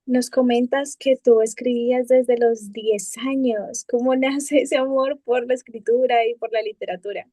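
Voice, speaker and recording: A woman's voice: on the high side, fairly even intonation, measured pace, few pauses, mellow, no room sound. A woman hosting a book fair interview, speaking in a formal presenting style.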